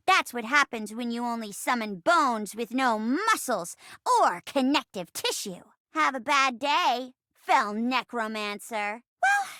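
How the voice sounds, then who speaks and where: A woman's voice: high-pitched, pushed and bright, no echo, clean studio sound, full character voice. A woman voicing a small, earnest, plucky young female forest sprite in a video game, speaking in grand, melodramatic mock-archaic phrases. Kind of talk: theatrical fantasy role-play in a video game.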